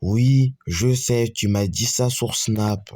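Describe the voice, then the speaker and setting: A man improvising a homemade school story, voicing every character himself in a halting, repetitive way with frequent restarts. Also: on the low side, a little mellow, a little hiss or hum. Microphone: screen-recorder capture.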